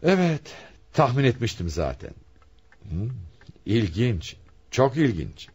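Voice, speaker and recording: Shrewd police commissioner, swooping intonation. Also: male voice, medium pitch, big pitch swings, a little mellow, slightly rough, lo-fi sound, full character voice. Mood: probing, intrigued. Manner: probing, deliberate, theatrically inquisitive. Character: shrewd, probing male police commissioner questioning suspects. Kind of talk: radio theatre acting.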